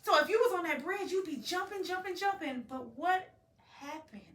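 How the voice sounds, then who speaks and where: A woman telling a story to a young child as a mother, animated, prompting with questions and repeating the answers. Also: extremely high pitch, normal speed. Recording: roomy, distant home recording with faint noise.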